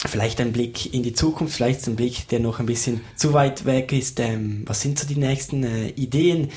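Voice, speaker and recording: A man's voice: mid-range voice, normal speed, a little mellow. A man hosting a radio interview, asking polite, formal, wordy questions. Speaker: radio show host.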